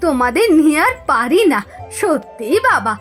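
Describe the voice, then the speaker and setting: A woman's voice: sits high, sing-song, normal speed, fairly bright tone, faint music behind. A woman playing an exasperated village wife and mother in a cartoon folk tale, scolding and grumbling. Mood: fond exasperation. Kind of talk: Bengali cartoon fairy tale dubbing.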